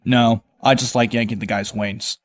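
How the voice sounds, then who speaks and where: An actor playing a sardonic, world-weary young vigilante, narrating and talking in a wry, conversational way in a motion comic. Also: male voice, medium pitch, fairly even intonation, moderate pace, close to an everyday voice. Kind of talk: first-person narration and dialogue, motion comic.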